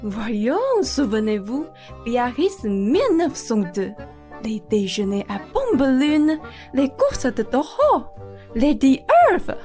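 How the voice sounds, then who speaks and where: A woman's voice: high-pitched, very animated, slow pace, mellow, soft background music, heavily acted. A woman playing a rich, effusive aristocratic lady in a student radio play, speaking grandly with theatrical sighs. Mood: delighted.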